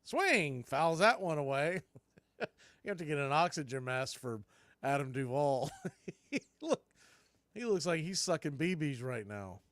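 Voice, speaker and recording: Higher-voiced man calling live baseball. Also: sing-song, measured pace, pauses often, fairly bright tone, dry recording. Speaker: play-by-play announcer and stream host. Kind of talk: live baseball radio play-by-play with casual chat.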